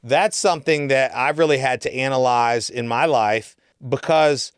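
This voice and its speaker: A man's voice: medium pitch, normal speed, clear, resonant tone, no room sound, clean studio sound. A man in a casual podcast chat, talking in a slangy, emphatic way and often checking that the listener follows. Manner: slangy, emphatic, often checks with "you know what I'm saying". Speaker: podcast guest or co-host talking about real estate work.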